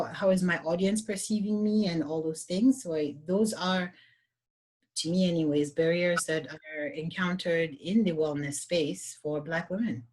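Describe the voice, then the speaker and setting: A woman with a faint French accent and a lowish voice, speaking as a yoga teacher on a discussion panel. She talks earnestly and reflectively, often pausing and restarting to find her words. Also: darkish tone. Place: online video call.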